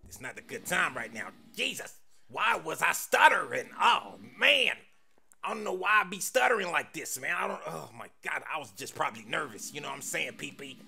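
A man voicing a bossy, easily flustered killer in an animated game parody, with slangy, blustering talk. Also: fairly high pitch, moderate pace, gravelly, full character voice. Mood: frustrated. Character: swaggering, nervous red impostor killer, smitten and bossy.

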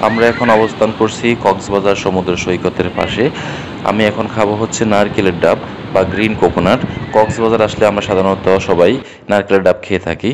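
A man's voice: on the low side, steady tone, brisk, background noise. A man with a Bangladeshi accent introducing a travel video as its host, in a scripted narration style.

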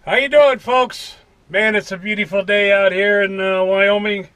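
An American man in his fifties talking in a folksy, unhurried way in a casual vlog from a truck cab. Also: sits high, steady tone, a bit bright, dry recording, soft background music. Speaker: vlogger. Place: inside a parked truck cab.

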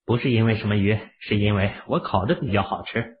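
A man voicing a calm, unflustered young man in an audio drama, who speaks casually and matter-of-factly. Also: lowish voice, a little fast, natural voice. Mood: offhand. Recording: tinny phone-like audio.

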